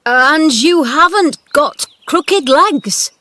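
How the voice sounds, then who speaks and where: Storybook role: woman voicing a cross old gardener, loud and blunt, dry close sound. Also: mid-range voice, slow, no room sound. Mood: shaky. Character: cross old male gardener, gruff but tender. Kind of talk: storybook character voice.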